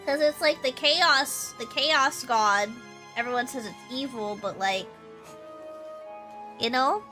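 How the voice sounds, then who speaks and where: A woman's voice: high voice, takes it slowly, bright, forceful tone, poor audio. A young woman streaming a game, chatting casually and rambling with lots of fillers, her nose stuffy from allergies. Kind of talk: casual gameplay stream chat. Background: game music.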